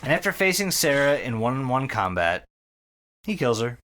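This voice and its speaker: Guy podcaster retelling a story, super into it, swears a lot, pitch all over the place. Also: big pitch swings, moderate pace, a bit bright. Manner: sweary, enthusiastic, with repeated words. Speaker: podcast host. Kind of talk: casual storytelling recap of an internet horror story.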